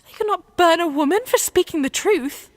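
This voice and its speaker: A woman's voice: extremely high pitch, normal speed. A woman playing a devout, headstrong young peasant warrior in a radio play, impassioned and plain-spoken. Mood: distressed, pleading.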